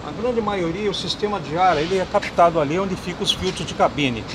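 A man's voice: sits high, fairly quick. A man in his sixties explaining equipment technically outdoors, often tagging sentences with a checking question. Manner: explanatory, adds "tá?" tags. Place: outdoor parking lot. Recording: clear street noise behind.

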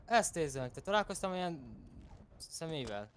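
A man's voice: on the high side, big pitch swings, a bit bright, a little hiss or hum. A man playing a polite, procedural police officer in an improvised roleplay game, hesitant as he questions. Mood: businesslike. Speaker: online roleplay game player.

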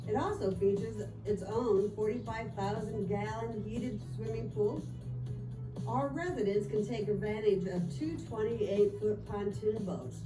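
A middle-aged woman, a real estate agent, reading an upbeat promotional voice-over, with a few small slips. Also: fairly high pitch. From Florida. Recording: echoey voice-over with clear music. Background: background music.

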